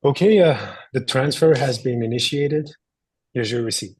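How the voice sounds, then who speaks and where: Man acting a husky, polite young bank teller. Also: medium pitch, normal speed, a little mellow, natural voice. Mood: polite, businesslike. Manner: courteous, businesslike. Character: polite bank teller in his mid-twenties. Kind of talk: dramatic script table read.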